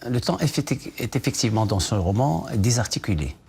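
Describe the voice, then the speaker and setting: A man's voice: lowish voice, big pitch swings, fairly quick, vocal fry, a little mellow, breathy edge. A man from Morocco, a novelist, answering questions in a TV news studio, hesitant with many fillers and restarts. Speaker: novelist guest. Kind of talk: TV news interview.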